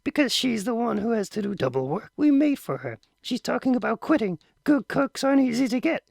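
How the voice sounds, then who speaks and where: A man voicing a fretful, high-pitched mother. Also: very animated, fairly quick, gravelly, darkish tone, no echo, clean studio sound, exaggerated voice. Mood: anxious. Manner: high, anxious and scolding. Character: worried mother, fussy and protective.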